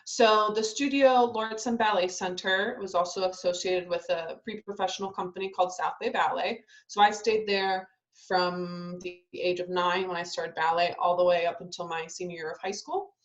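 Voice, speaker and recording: A woman's voice: fairly low pitch, steady tone, normal speed, few pauses, highs cut off. A young adult woman, a ballet dancer born in Italy and raised in Southern California, chatting casually in an interview with lots of ums and restarts. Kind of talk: casual interview over video call.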